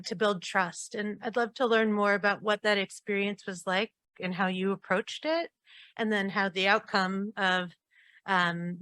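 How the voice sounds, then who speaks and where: A woman with a mid-pitched voice asks a long, considered question from the audience. She speaks politely and thoughtfully. The sound is dry and close. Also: sharp tone, dry recording. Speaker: audience member.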